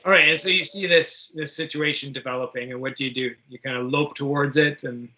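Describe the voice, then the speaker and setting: A man's voice: medium pitch, fairly even intonation, a little fast, sharp tone, highs cut off. A man running a tabletop game as game master, casually prompting his players with questions.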